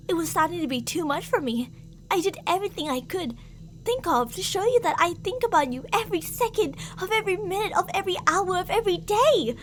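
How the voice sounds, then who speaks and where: Woman acting as a jealous yandere girl stalker, very high voice, sweetly menacing, theatrical, ASMR roleplay, humming background noise. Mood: obsessive hurt. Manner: theatrical, cooing endearments that turn reproachful.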